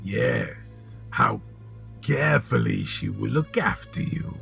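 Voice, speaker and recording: Gravelly, sinister old potion seller. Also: male voice, on the low side, wildly swooping pitch, a bit bright, soft background music, heavily acted. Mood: insinuating. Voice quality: gravelly, husky, breathy. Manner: insinuating, deliberate, theatrical. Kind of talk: audio drama acting.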